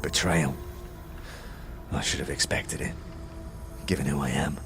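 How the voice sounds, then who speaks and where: An actor playing a talking skull, a dead anarchist who is bitter, conspiratorial and speaks in streetwise slang, in a fantasy audio drama. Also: male voice, a bass voice, steady tone, unhurried, music in the background. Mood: bitter, guarded. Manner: streetwise slang, scornful, guarded.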